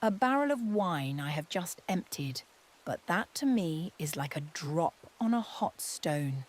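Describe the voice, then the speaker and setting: A woman's voice: on the low side, very animated, measured pace. A woeful man with a bottomless thirst, straight from a storybook, as a woman narrator reads him. He complains sorrowfully about his lot.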